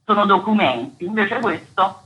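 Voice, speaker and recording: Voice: mid-range voice, expressive, normal speed, a bit bright, poor audio. A radio interview guest, recalling things in a conversational style. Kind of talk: conversational recollection.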